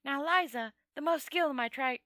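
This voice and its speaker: Woman putting on a rambling dead old wizard, high-pitched. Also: a little fast, pushed and bright, no echo, studio quality. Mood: proud, disdainful. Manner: rambling, matter-of-fact, conversational.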